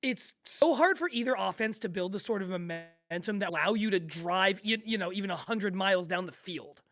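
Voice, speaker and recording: Casting: woman as lively, bright-voiced football-fan podcast co-host, amused and exasperated, casual and sweary. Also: medium pitch, brisk, faint music behind, natural voice. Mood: incredulous. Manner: conversational, amused, giggly, sweary. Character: animated, exasperated sports-fan co-host recounting an absurd endless football game. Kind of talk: scripted podcast table read as casual sports chat.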